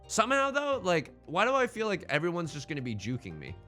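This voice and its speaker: A man's voice: high voice, huge pitch swings, fast talker, sharp tone, faint music behind. A man hosting a gaming let's play in casual, bantering commentary, thinking aloud and often repeating his phrases.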